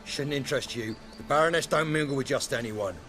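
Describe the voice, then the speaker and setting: An actor playing a curt, dismissive guard of a noble villa in a fantasy video game. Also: male voice, mid-range voice, fairly quick, a bit bright, natural voice. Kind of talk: video game dialogue acting.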